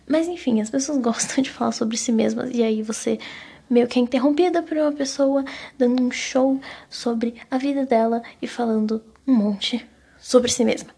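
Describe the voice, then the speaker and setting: A woman's voice: fairly high pitch, normal speed, warm tone, husky. A young woman with a Brazilian accent chatting casually and confessionally as a solo podcast host, rambling self-consciously and stumbling over her words.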